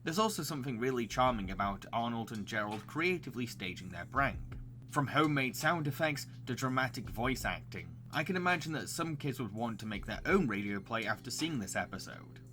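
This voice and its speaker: A man's voice: mid-range voice, animated, brisk, over music. A man narrating a scripted review as the presenter of a recommendation video, with enthusiasm.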